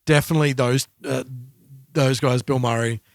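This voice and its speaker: A man's voice: medium pitch, fairly even intonation, moderate pace, creaky, no echo, studio quality. A man co-hosting a music podcast in an informal, conversational chat style.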